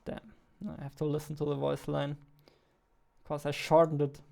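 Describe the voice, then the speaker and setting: A man's voice: on the high side, completely flat delivery, moderate pace, gravelly, dark tone. A man in his twenties chatting casually on a live stream into a close studio mic, informal and self-deprecating. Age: 20s. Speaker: game developer live-streamer. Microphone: close studio mic on boom arm.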